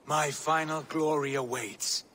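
A zealous, haughty Viking prince for a video game. His voice sits a little high and cuts sharply, delivered as grand formal oratory. A faint noise lies behind it. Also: steady tone, a little slow, bright, forceful tone. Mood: fervent, solemn. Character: proud, fervent Viking prince obsessed with glory, scornful of his father. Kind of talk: dramatic video game acting.

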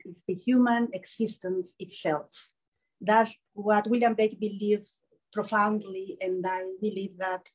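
A middle-aged Mexican woman with a slightly husky voice, reading a prepared lecture aloud. She speaks English with a Mexican accent, at a measured pace, and slows down for quotations. She is recorded in a seminar room over a video-call stream. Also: medium pitch, a little mellow, rough recording. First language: Spanish. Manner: measured, reads quotations slowly. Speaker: novelist giving a lecture. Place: seminar room with projection screen.

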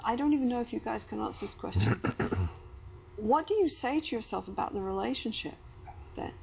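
A middle-aged woman acting as therapist, gently guiding a conversation with probing questions and restatements. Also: on the high side, huge pitch swings, a little fast, creaky, slight background noise. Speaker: therapist interviewer. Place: small indoor TV studio living-room set.

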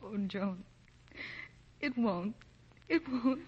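A woman's voice: fairly high pitch, lively intonation, drawn-out words, husky, heavily acted. An actress playing a caring, earnest young woman, a sympathetic friend, in a 1940s radio drama. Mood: concerned. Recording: dull old 1940s radio recording.

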